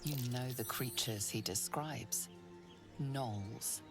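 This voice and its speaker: A woman playing a grave, sinister storyteller, narrating a dark fantasy game in a measured, intimate, ominous way. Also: a bass voice, heavily acted. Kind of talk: scripted second-person dark fantasy narration. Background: music.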